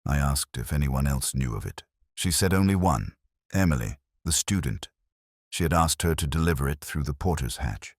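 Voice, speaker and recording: A man playing a weary, erudite police inspector, narrating his case in a measured, melancholy, sardonic way as a literary audio drama. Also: rumbling low, expressive, dry recording, studio quality. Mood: brooding.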